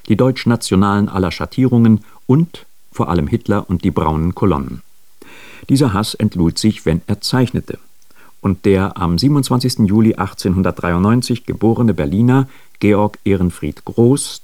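A man with a low-pitched voice narrates a written biography for the radio. His phrasing is deliberate and emphatic, and the sound is very dry. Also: a little mellow, dry recording. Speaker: radio presenter of a biographical introduction.

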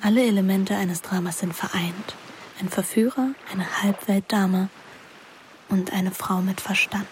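An actress playing a sensual, carefree teenage daughter, narrating intimately and with measured calm in a radio drama. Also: female voice, mid-range voice, a little hiss or hum, natural voice. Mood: reflective, intimate.

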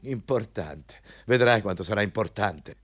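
An actor playing a cynical, vulgar, bullying company executive with a scornful, overbearing manner in a radio drama. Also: male voice, on the low side, animated, measured pace, a bit bright, breathy edge, dry recording, full character voice. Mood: irritated, bossy.

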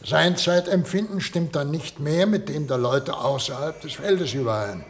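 Earnest experiment leader , male actor: man, measured explanatory delivery, higher than average, faintly noisy old radio recording. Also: natural voice. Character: earnest male professor leading a daring experiment. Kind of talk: German radio play acting.

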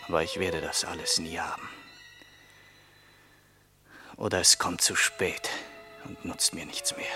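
A poor young surgeon lost in brooding thought. He whispers slowly and haltingly to himself, tempted and tormented, in a radio drama with music beneath. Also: sits low, full character voice. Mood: anguished longing. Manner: hushed, halting, musing to himself. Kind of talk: German radio play monologue.

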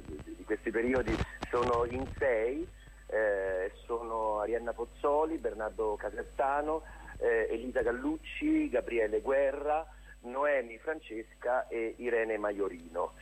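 A man giving a casual, unscripted interview as a theatre director, halting and often repeating his words. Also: medium pitch, breathy edge, low-quality recording. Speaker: theatre director, radio guest.

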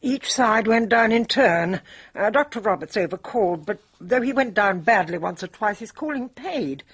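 A woman's voice: medium pitch, lively intonation, moderate pace, slightly rough. An actress playing a composed, precise English lady with a British accent, formal and self-possessed, in a radio drama. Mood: matter-of-fact.

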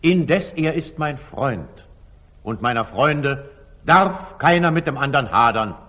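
A husky-voiced, commanding older sultan in an old radio play. His stately verse swings widely from soft musing to ringing command, indulgent and authoritative at once. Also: medium pitch, lively intonation, takes it slowly. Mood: calm authority. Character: magnanimous older sultan, commanding yet indulgent, ruler of Jerusalem. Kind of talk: 1940s German radio drama acting, classical stage verse. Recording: slightly muffled 1940s radio studio recording.

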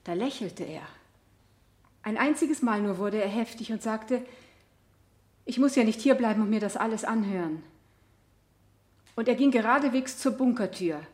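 A female actor plays an anguished wife who feels betrayed, speaking in a high voice. She testifies haltingly yet insistently, full of emotion, in the manner of a vintage radio drama. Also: lightly acted. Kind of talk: 1970 German radio play acting.

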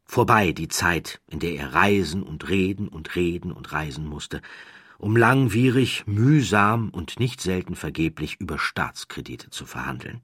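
A man narrating a scripted historical story as an audiobook narrator, in a measured, dramatic storytelling manner. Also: deep voice, expressive, a bit bright, husky, dry recording, clean studio sound.

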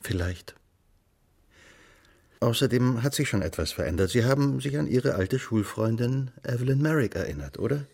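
An actor playing a sober, probing investigator in a German radio drama, measured and gravelly in his questioning. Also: medium pitch, animated, darkish tone. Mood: calm, probing. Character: sober, probing male investigator Blackshire, persistent and calm.

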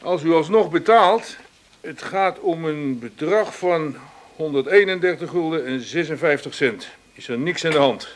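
Radio drama casting: man as officious utility official, fairly high voice, dry, stubborn, patronising. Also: normal speed, natural voice. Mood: insistent. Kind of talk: Dutch radio play acting.

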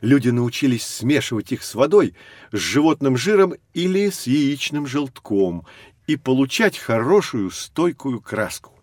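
An actor playing a patient, knowledgeable guide, explaining things to children in measured storytelling for an educational audio drama. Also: male voice, mid-range voice, very animated, darkish tone, no echo, clean studio sound. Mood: patient, informative. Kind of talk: scripted educational narration for children.

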